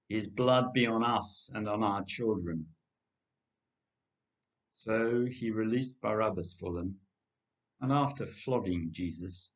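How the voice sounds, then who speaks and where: An older Englishman gives a solemn reading of scripture. His voice is fairly low and his pace slow and deliberate. The recording is a little dull, like a webcam in a kitchen. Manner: measured, deliberate phrasing. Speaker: lay scripture reader. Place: home kitchen, indoors. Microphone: webcam at about arm's length.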